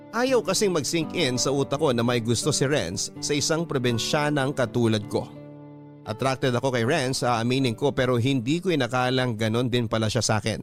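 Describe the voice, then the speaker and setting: Guy narrating a radio drama story, middle pitch, music playing under him. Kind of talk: scripted first-person story narration of a listener's letter. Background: background music.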